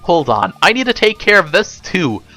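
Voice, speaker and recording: A man's voice: high-pitched, big pitch swings, normal speed, sharp tone, soft background music, voice pushed up, heavily acted. A man voicing a defiant, foul-mouthed pony in an indignant, blunt, emphatic manner for a story reading. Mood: defiant, angry. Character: defiant, foul-mouthed male pony, irritated by pompous arbiters.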